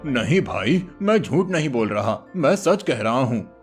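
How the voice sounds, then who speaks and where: A humble, honest cowherd from a cartoon village tale, voiced by a man. He has a medium-pitched voice and a polite, sincere manner, over faint music. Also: sing-song, a little slow. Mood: earnest. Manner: polite, earnest.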